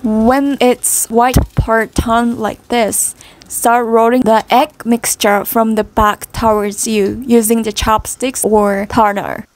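A young woman in her twenties whose first language is Japanese, giving slow, careful step-by-step cooking instructions in a casual vlog from a small home kitchen. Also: a little high, level tone. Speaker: cooking vlogger.

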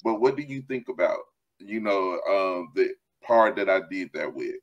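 A man's voice: sits low, normal speed, muffled recording. A middle-aged man, an author chatting animatedly over a video call from a room at home, laughing often. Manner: animated, laughs often, gestures while talking. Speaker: author and host. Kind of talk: casual video call chat. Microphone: headset.

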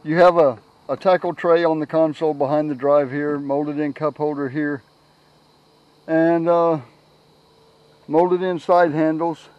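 A middle-aged man giving a casual, unscripted product walkthrough outdoors on a camera mic, halting and repeating words as he goes. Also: on the high side, fairly even intonation, no echo, dull audio. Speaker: product reviewer, kayak owner. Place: outdoors on a grassy yard. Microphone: handheld camera's built-in microphone.

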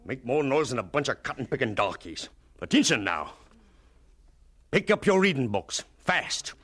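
An actor playing a bitter, sarcastic schoolmaster in a radio drama, scolding in a theatrical, sardonic manner. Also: male voice, mid-range voice, very animated, moderate pace, a bit bright, breathy edge, dry recording. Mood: exasperated shouting. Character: bitter, sarcastic male schoolmaster, scornful and weary.

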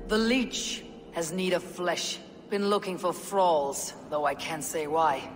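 A woman playing a stern, resolute Viking warrior in a game cutscene, speaking in a measured, grim, matter-of-fact way. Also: on the low side. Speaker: video game lead character. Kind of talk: dramatic game cutscene acting. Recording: reverberant stone hall, music underneath.

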